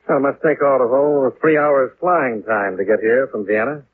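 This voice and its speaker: A man's voice: medium pitch, moderate pace, natural voice. A man playing a wry, hard-boiled American insurance investigator in a 1950s radio detective drama, with clipped, dry delivery. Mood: pointed, businesslike. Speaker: lead actor and narrator. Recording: muffled, lightly noisy 1950s radio recording.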